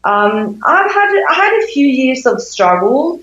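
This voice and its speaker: A woman in her fifties from Sweden, a native Swedish speaker, giving reflective interview answers with thoughtful pauses in a conversational style. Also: high-pitched, very animated, fairly bright tone, reverberant, muffled recording. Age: 50s. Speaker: interview guest, intimacy coach.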